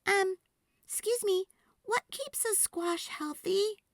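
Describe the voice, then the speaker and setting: A woman's voice: extremely high pitch, takes it slowly, dry recording, studio quality, voice pushed up, heavily acted. A woman voicing an earnest, stubborn, devoted little girl in a storybook, with heartfelt, hesitant phrasing. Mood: hopeful, concerned. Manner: hesitant ums and huhs, heartfelt.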